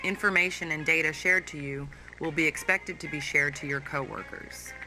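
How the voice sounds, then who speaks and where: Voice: medium pitch, fairly even intonation, normal speed, natural voice, poor audio. An actor voicing a polite, cheerful and formal corporate narrator reading a scripted training tape. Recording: music under the narration.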